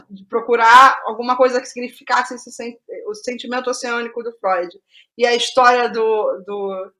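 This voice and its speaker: Casting: Brazilian woman, fairly high voice, animated conversational storyteller, interview guest thinking out loud. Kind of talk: casual conversational interview about writing process.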